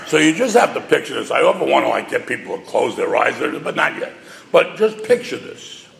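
An older man from New with a gravelly voice, telling a personal story with wry, self-mocking humor to a live audience over a stage microphone. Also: mid-range voice, sing-song, brisk, fairly bright tone. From New York. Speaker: speaker addressing an audience. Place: large hall before a live audience. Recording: reverberant hall sound.

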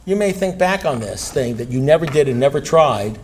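A man's voice: medium pitch, lively intonation, moderate pace, a little mellow, a little hiss or hum. A man giving an impassioned talk at a recovery meeting, blunt and emphatic, hammering points with repetition and the odd curse word.

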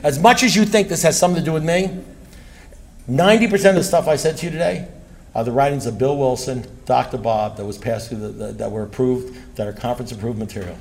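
A man's voice: medium pitch, vocal fry, slight background noise. A man giving an impassioned talk at a recovery meeting, blunt and emphatic, hammering points with repetition and the odd curse word.

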